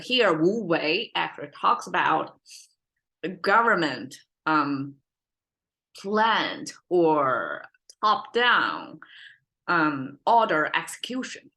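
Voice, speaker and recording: Bright-voiced woman teacher, Chinese accent. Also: deep voice. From China. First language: Mandarin Chinese. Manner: rambling, self-correcting, checks in with listeners. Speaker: language teacher, podcast host. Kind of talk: informal spoken lesson.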